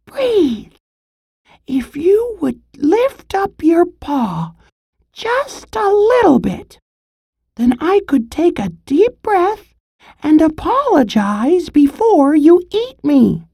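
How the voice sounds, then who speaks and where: A woman voicing a small, cheeky young male squirrel who chirps in a high voice. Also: very animated, slow, mellow, no room sound, clean studio sound, heavily acted. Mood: pleading.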